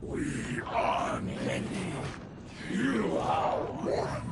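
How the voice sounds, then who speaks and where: A man's voice: mid-range voice, wildly swooping pitch, very slow, raised voice, distant mic, over a noisy crowd, very noisy, heavily acted. A man voicing a menacing monster of fused souls in a video game, chanting its threats. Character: menacing soul-fused monster speaking as many.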